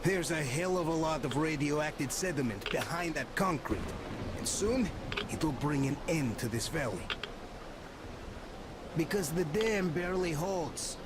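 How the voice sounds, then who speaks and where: An actor playing a rugged, concerned soldier comrade in a video game, with colloquial, urgent, plain-spoken delivery. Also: male voice, fairly high pitch, expressive, measured pace, noisy background. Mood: grave, urgent. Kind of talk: video game cutscene acting. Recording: loud rain and ambience behind.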